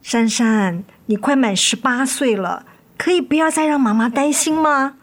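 一位女演员在广播剧中饰演操心又疲惫、爱叮咛的母亲。. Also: female voice, high voice, normal speed. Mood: scolding, worried. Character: worried, weary, nagging mother of two daughters. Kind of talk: radio drama acting.

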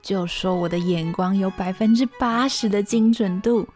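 Woman acting a precise, mellow-voiced nerdy young woman. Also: medium pitch, normal speed, soft background music, close to an everyday voice. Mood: precise, confident. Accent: Taiwanese Mandarin. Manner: matter-of-fact, exact. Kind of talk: podcast radio drama acting.

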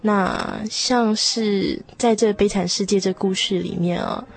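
A woman's voice: mid-range voice, fairly even intonation, unhurried, gravelly, soft background music. A woman guest on a radio show, sharing her reflections casually in an earnest, encouraging way.

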